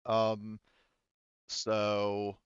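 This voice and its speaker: A man's voice: fairly low pitch, monotone, very slow, clean tone, no echo, muffled recording. A man casually refereeing a tabletop game as game master, thinking aloud in an informal way.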